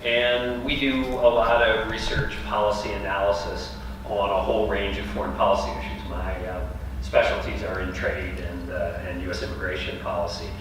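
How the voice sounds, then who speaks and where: A man's voice: on the low side, level tone, normal speed, background noise. A man giving a conversational public lecture with a big, projecting voice. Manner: conversational, loud, projects his voice. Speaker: guest speaker. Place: small event room. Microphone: handheld mic held away, then off. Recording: echoey room from far off.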